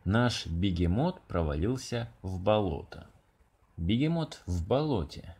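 A man's voice: deep voice, expressive, a little slow, mellow, husky, heavily acted. A man voicing a frantic rhinoceros calling urgently for help in a children's storybook. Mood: alarmed. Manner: alarmed, urgent.

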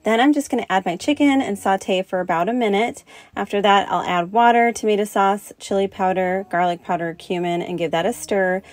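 A woman's voice: medium pitch, brisk, no room sound. Friendly woman narrating a cooking demo. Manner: relaxed, friendly step-by-step instructions. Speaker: cooking channel host. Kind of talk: voice-over cooking demonstration.

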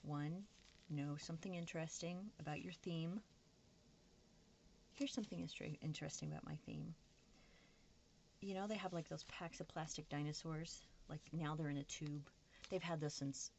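A woman with a very low voice talks in a loose, candid, self-mocking ramble, as if to friends watching her craft. Her voice is full of gravelly fry. Clear background noise sits under the indoor recording. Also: moderate pace, a little mellow. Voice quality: low, creaky voice. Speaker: craft vlogger. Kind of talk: casual rambling chat to viewers while crafting. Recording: noisy room recording with background hiss.

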